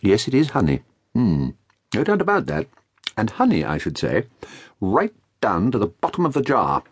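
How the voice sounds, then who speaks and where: A man voicing a slow, amiable, greedy bear in a dramatised children's story, musing and hesitant in a husky voice. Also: fairly low pitch, huge pitch swings, exaggerated voice. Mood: musing, sheepish. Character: Pooh, slow, dim, greedy, amiable honey-loving bear.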